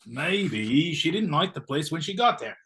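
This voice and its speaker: Man playing a slow, bewildered blustery gentleman. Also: a little high, big pitch swings. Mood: breezy, oblivious. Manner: blustering, puzzled. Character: bewildered, blustery English-style knighted gentleman, oblivious husband. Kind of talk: comic stage play reading.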